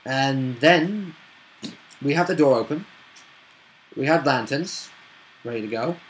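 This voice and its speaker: Casual male storyteller, a tabletop player retelling a session off the cuff, halting and self-correcting. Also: mid-range voice, lively intonation, slight background noise, low-quality recording. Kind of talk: casual unscripted game recap.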